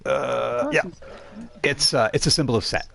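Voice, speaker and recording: A man narrating a tabletop roleplaying game as game master, in a halting, conversational way. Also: medium pitch, gravelly, a bit bright.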